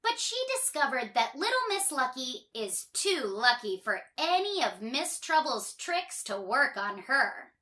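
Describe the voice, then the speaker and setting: High, bright, very animated woman storyteller. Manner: expressive, drawn-out emphasis. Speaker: children's storybook reader. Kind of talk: animated read-aloud storytelling for children.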